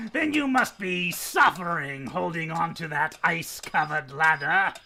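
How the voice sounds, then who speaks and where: A deranged radio-play villain, gloats in a very high, piercing voice. He rants and cackles theatrically, savoring his revenge with slow, swooping menace. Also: lively intonation, creaky, sharp tone, slight background noise, heavily acted. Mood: gloating, menacing. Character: gleefully deranged, vengeful male poisoner villain.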